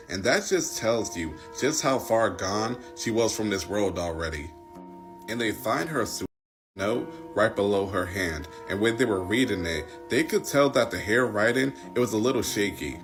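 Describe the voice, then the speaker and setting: A man tells a story as an informal commentator, speaking plainly and conversationally. His voice is fairly low, and guitar music plays clearly behind him.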